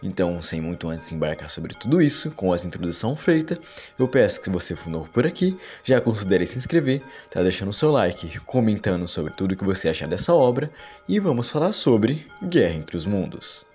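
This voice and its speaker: A man's voice: sits low, big pitch swings, a little fast, darkish tone, faint music behind. A man with a Brazilian Portuguese accent, hosting and narrating explanatory commentary in a wordy, conversational way. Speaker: video narrator and host. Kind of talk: explanatory commentary over horror videos.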